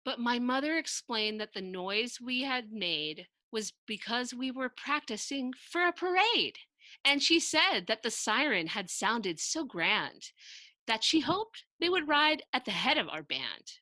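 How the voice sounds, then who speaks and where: A woman reading a children's picture book aloud in an expressive, theatrical, sing-song way with emphatic rhyming delivery. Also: on the high side, unhurried, no echo. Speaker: storybook narrator reading aloud.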